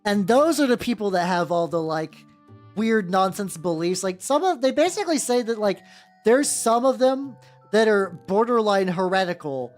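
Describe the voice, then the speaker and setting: A woman rambling through a casual livestream, her pitch bouncing up and down in a lively way. She swears freely and answers her chat as it comes in. Faint music plays underneath her. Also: normal speed. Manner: rambling, chatty and profane, reacting to chat. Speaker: VTuber streamer painting miniatures. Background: faint background music.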